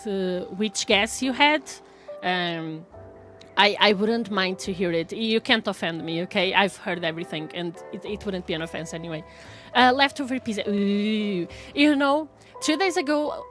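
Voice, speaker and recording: A woman's voice: medium pitch, fairly bright tone. A woman from Portugal with a Portuguese accent, chatting casually with viewers on a live stream, laughing often and restarting her words.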